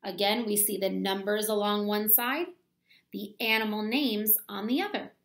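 A woman in her thirties reading a children's book aloud like a teacher, explaining deliberately and asking the young listeners questions. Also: mid-range voice, creaky, a bit bright. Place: small plain room at home, indoors.

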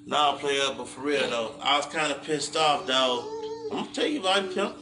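A man's voice: medium pitch, lively intonation, fairly quick, background noise. A middle-aged American man bantering casually on a livestream in a slangy, profane, boastful way, repeating himself. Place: living room, indoors. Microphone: phone across the room. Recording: echoey room, away from phone.

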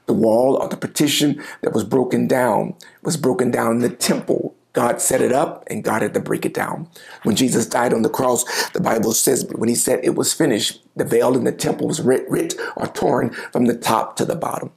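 A middle-aged man, a pastor, preaching a sermon to the camera from a home office, reading scripture and explaining it with lively gestures. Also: mid-range voice, normal speed, vocal fry. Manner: animated gestures, reading and explaining scripture, occasional quiet asides. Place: home office with bookshelves, indoors. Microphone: lapel mic, seated at desk.

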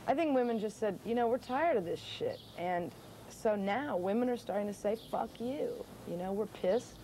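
An outspoken young woman musician talks bluntly and doesn't hold back her swearing. Her voice is mellow and of middle pitch. She speaks outdoors for a documentary interview. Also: lively intonation, normal speed, slight background noise. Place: outdoors beside a pale wall.

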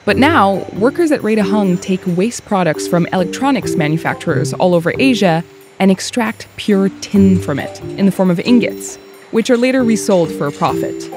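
Reporter, woman, measured news voice-over with faint music under it. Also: medium pitch. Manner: even, measured voice-over delivery. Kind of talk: scripted news narration.

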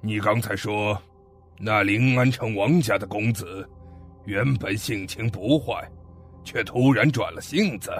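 A grave, commanding father played by a man speaks in a fan audio drama set in ancient times. His voice sits low and his words come very slowly and heavily. Soft music plays behind him. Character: stern father of a young heir, ancient China.

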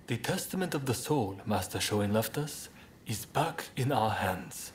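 An actor playing an earnest samurai rebel leader in a game cutscene, speaking in a polite, measured, formal way. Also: male voice, fairly low pitch, animated, heavy background noise. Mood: reflective. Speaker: video game character actor. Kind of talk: dramatic game cutscene acting.

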